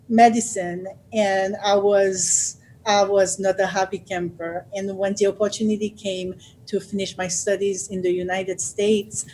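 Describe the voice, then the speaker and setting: Woman talking slow and careful, lots of ums, middle pitch, sharing her story. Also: a little mellow, low-quality recording. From Haiti. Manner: thoughtful, unhurried, with frequent fillers and restarts. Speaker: author and guest speaker. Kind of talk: personal storytelling.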